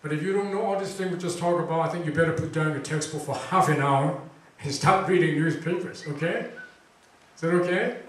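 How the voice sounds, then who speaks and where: A man's voice: on the high side, a little fast. Chatty man in a very echoey room. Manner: chatty, quizzing the audience, many fillers. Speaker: event host introducing a speaker. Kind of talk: casual talk to a student audience. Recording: very echoey room recording from far off.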